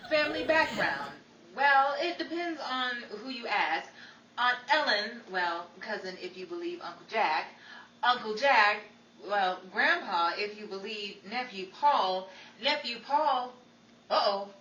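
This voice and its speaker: Woman acting a bright, sardonic starlet, echoey radio. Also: medium pitch, expressive, unhurried, dull audio. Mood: teasing. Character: sardonic, exasperated Hollywood starlet, young woman. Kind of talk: 1940s old-time radio comedy acting. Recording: echoey, distant mic with faint crowd and noise.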